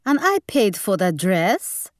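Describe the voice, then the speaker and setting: A woman's voice: medium pitch, very animated, takes it slowly, dry recording, studio quality. Woman putting on a blunt old Hungarian grandmother. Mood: snappish. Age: older. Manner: snappish, opinionated.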